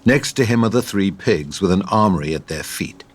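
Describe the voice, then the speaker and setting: A man's voice: deep voice, lively intonation, moderate pace, husky. A man giving scripted narration of stage directions for an audio drama.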